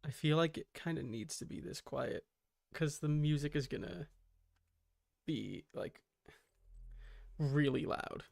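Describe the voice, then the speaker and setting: Gravelly, higher-pitched man streaming casually. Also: slow pace, long pauses, a little mellow, no room sound, clean studio sound. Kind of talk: casual livestream chat.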